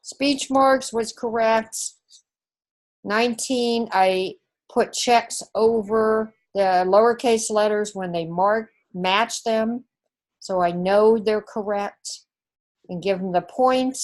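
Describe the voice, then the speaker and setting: A woman teacher with a New Zealand accent, working through the scoring of a test step by step in an online class. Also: mid-range voice. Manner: slow, methodical, talks through scoring step by step.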